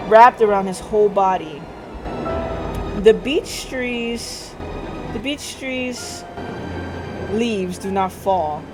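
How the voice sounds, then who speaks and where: A woman streamer reading visual novel text aloud on a livestream. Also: medium pitch. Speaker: game streamer.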